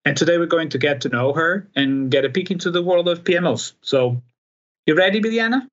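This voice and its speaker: A man's voice: fairly high pitch, a little fast, a bit bright, muffled recording. A man hosting a podcast, interviewing a guest in a conversational way. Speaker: podcast host.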